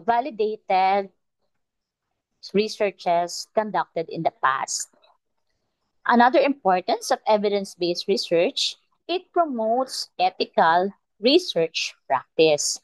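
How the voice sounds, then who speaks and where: A Filipino-accented woman, a native Tagalog speaker, teaching a research methods lesson in a deliberate lecture style, often pausing with "uh" and checking in with "okay?". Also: mid-range voice, no echo, low-quality recording. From Philippines. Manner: slow, deliberate, frequent "okay?" check-ins and "uh" pauses. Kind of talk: recorded lecture to students.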